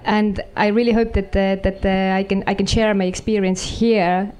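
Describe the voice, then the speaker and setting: A woman with an Estonian accent, introducing herself on a panel in a halting way with many fillers. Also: medium pitch, flat delivery, darkish tone, clean tone.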